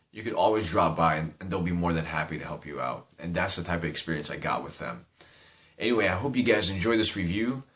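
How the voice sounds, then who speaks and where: Deep-voiced guy with slight Persian accent. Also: a bit bright. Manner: rambling, chatty, swears casually. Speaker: vape reviewer. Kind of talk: casual product review.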